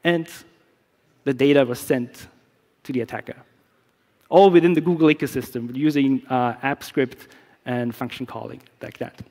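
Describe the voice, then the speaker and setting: A man's voice: medium pitch, normal speed, slight background noise. German man presenting in a big hall. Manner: relaxed, explanatory, many fillers and restarts. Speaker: security researcher. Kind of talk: conference talk with live demo. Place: large conference stage. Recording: long reverb of a big hall.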